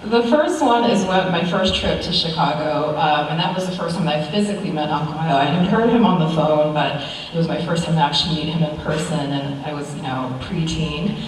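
A woman's voice: low voice, fairly quick. A young adult American woman giving an informal, conversational eulogy with personal stories, recorded from a distance in a church. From United States. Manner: conversational, chuckling through anecdotes. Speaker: niece giving a funeral tribute. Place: large church sanctuary, at a pulpit. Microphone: far camera. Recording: very echoey church hall, distant mic, faint noise.

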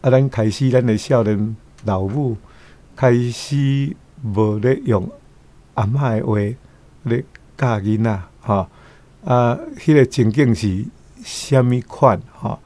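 A man's voice: mid-range voice, takes it slowly, warm tone. An older man with a Taiwanese accent giving casual, rambling commentary peppered with tag particles. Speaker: guest commentator.

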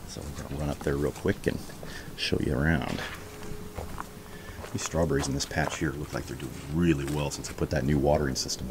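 A man giving a casual walking tour of his backyard garden outdoors, chatty and relaxed as he points things out on a handheld camera. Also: very deep voice, noisy background. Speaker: homestead vlogger. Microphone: handheld camera held while walking.

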